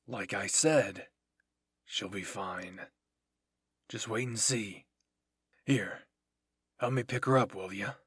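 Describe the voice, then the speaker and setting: A man voicing a stoic, dismissive male soldier in an anime fan-fiction reading, terse and slow. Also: fairly low pitch, fairly bright tone, breathy edge, no echo, voice pushed down, full character voice. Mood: calm, dismissive.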